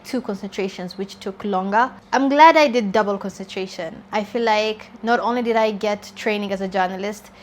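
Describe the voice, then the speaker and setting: A woman's voice: mid-range voice, a little hiss or hum. A woman in her twenties, a journalist chatting candidly through a get-to-know-me Q&A, laughing easily. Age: 20s. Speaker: journalist and YouTuber. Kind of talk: casual get-to-know-me Q&A vlog.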